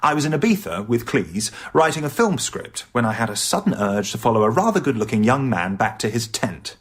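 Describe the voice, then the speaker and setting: An English comic narrating his life for a radio play. The voice is husky and very animated, wry and candid, with arch, self-important asides. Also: mid-range voice, fairly quick. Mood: wry, confiding. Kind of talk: scripted first-person radio narration.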